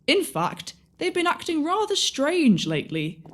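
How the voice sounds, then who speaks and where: A woman's voice: high-pitched, wildly swooping pitch, a little slow, exaggerated voice. A woman playing a timid, chatty young servant with a nervous manner in an audio pantomime.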